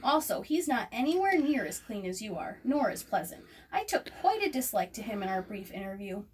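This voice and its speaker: A female spider from a children's storybook, voiced by a woman reading aloud. She is courteous and dry, with measured phrasing. The sound is distant, with noise around it. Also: mid-range voice, big pitch swings, natural voice. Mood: wry, frank. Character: polite, wry, weary female spider friend. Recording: distant microphone, noisy room ambience.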